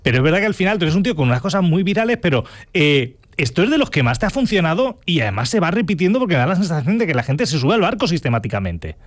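A man hosting a radio interview, asking brisk, talkative questions of his guest. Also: on the high side, big pitch swings, dry recording. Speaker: radio host.

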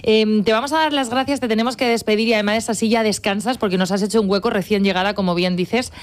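A woman's voice: medium pitch, fairly even intonation, fast. Hesitant woman radio host. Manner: warm hesitant, many fillers. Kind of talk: interviewing on a radio show.

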